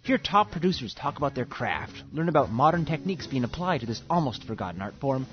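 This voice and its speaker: A man's voice: mid-range voice, big pitch swings, fast talker, dull audio, faint music behind. A man reading a scripted promo as host of a show.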